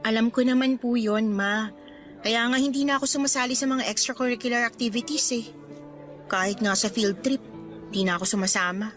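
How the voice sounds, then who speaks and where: A woman as a teenage daughter with a high voice and a Filipino accent. She is dutiful and polite but sounds defensive and a little sulky, in radio drama style over music. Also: a little fast, a bit bright, lightly acted. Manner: polite, defensive, subdued sulking. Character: dutiful teenage schoolgirl daughter, quietly resentful.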